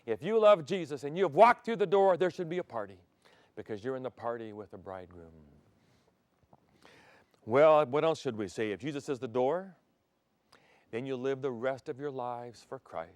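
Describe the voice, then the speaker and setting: A man's voice: mid-range voice, normal speed, long pauses, dry recording. Older male pastor preaching in a dry studio. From Thailand. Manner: animated preaching, swings from loud emphasis to soft intimacy, gestures freely. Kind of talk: televised sermon. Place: TV studio set. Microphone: lapel.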